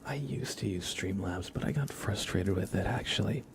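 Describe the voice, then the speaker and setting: A man hosts an ASMR livestream, chatting casually and gently in a hushed whisper, close to the microphone. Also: fairly low pitch, normal speed, gravelly, background noise. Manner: casual, friendly, thanking viewers. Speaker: livestream host. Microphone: close microphone with compression and limiter.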